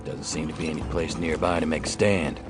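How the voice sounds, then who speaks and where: An actor playing a calm, laconic outlaw prisoner in a dramatized western audiobook. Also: male voice, rumbling low, brisk. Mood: calm, dry. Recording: music behind.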